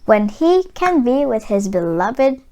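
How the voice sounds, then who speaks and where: Slow, high-voiced woman reading a storybook. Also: sing-song, darkish tone. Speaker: storybook reader. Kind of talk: expressive children's read-aloud narration.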